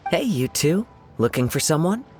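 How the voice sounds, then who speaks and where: A man voicing a gentle, poetic young male wanderer in a video game, soft, calm and politely measured. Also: on the high side, soft background music. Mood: pleasant, welcoming. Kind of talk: animated video game voice acting.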